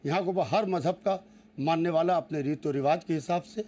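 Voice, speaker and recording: A man's voice: fairly high pitch, moderate pace, darkish tone, slight background noise. A man making an emphatic, rhetorical statement for the news as a cleric. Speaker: cleric interviewee. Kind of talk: spoken statement to news camera.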